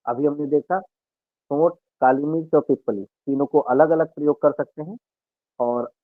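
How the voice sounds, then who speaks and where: A man, an Ayurveda health expert, calmly explaining home remedies and speaking directly to listeners on a radio health talk. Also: medium pitch, dry recording. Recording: narrow dry radio broadcast sound.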